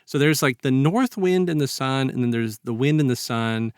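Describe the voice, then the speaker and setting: A man talks as a podcast host in a close, dry recording. His delivery is halting and conversational, with a medium-pitched voice. He reflects casually on what he reads and animates his retellings with acted-out quotes. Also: animated, clean tone, no echo. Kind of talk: casual reflective literary discussion.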